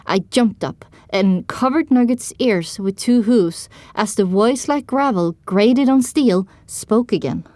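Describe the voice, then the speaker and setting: A woman's voice: fairly high pitch, takes it slowly, few pauses, dark tone, no echo. A woman narrating a scripted story in the first person as part of an audio drama.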